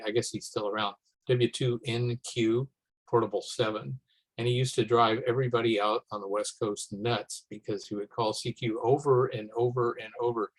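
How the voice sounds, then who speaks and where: A man of medium pitch takes part in an informal teleconference. He speaks haltingly, pausing between phrases as he works out what to say. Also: low-quality recording. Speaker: meeting participant. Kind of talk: informal conference call discussion. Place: remote teleconference.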